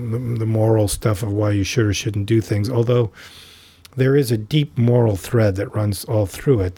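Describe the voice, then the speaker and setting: Mellow, husky-voiced man telling a story. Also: fairly low pitch, dry recording. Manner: unhurried, thoughtful, many fillers and restarts. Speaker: podcast guest, tai chi teacher. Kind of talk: reflective storytelling in conversation.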